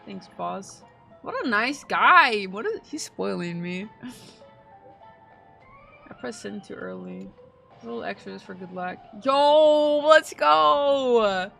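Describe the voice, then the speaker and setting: A woman's voice: mid-range voice, lively intonation, slow pace, vocal fry, sharp tone, soft background music. A woman game streamer giving casual, playful, slangy live reactions.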